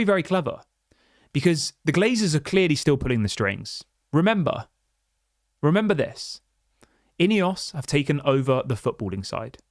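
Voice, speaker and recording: A man's voice: mid-range voice, no room sound, studio quality. A man hosting a football fan livestream, reading viewer chats and ranting passionately with lots of restarts and rhetorical questions. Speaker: football fan channel host.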